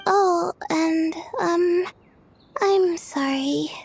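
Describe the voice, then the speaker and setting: A drowsy, timid young woman scholar who speaks slowly and haltingly in a very high voice with a gravelly edge. She hesitates often and apologizes, sounding worn out. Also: a little hiss or hum, exaggerated voice. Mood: drowsy, apologetic. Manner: hesitant, soft-spoken, full of "uh"s. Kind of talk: video game voice acting.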